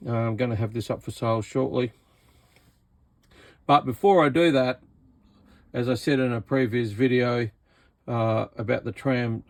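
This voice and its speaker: A man's voice: mid-range voice, darkish tone, dry recording. An Australian man giving a casual, hands-on radio repair demonstration, talking informally with an incredulous, exasperated manner. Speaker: radio repair vlogger and seller.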